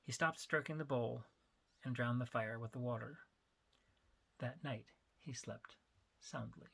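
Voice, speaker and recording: A man narrating his own story as an author, in an expressive, emphatic dramatic reading style. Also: mid-range voice, a little slow, creaky, husky.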